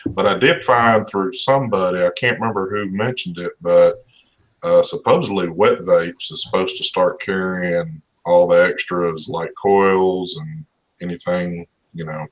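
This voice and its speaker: A male host with a fairly low voice chats in an easygoing way, joking with his guests and laughing freely. His talk is loose and friendly, full of small asides. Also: a little slow, rough recording. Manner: relaxed, laughing, friendly banter. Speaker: show host. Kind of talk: casual chat on a live show.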